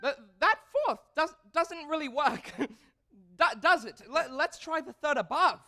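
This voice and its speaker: An actor on a theatre stage playing a young, boastful, childishly cheeky male composer in an excitable, stammering, giggly manner. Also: very high voice, slow pace, soft background music, exaggerated voice. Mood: playful, needling.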